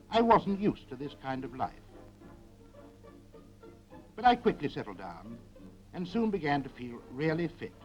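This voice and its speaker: A man's voice: medium pitch, muffled recording, natural voice. A man playing an ordinary husband in a 1940s information film, telling his story in a chatty, understated way. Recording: 1940s film soundtrack with music.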